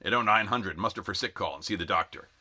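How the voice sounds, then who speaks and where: A man's voice: low voice, quick pace, pushed and bright, no room sound, exaggerated voice. A man reading a story, playing a tough, commanding male army drill sergeant who gives clipped, barking orders. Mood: stern.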